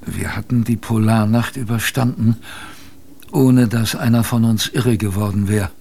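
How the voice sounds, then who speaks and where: An actor playing a young ship's crewman who recounts a polar expedition in measured, literary radio drama narration. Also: fairly low pitch, steady tone, a little mellow. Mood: grave, dramatic. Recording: clear background noise, ambience.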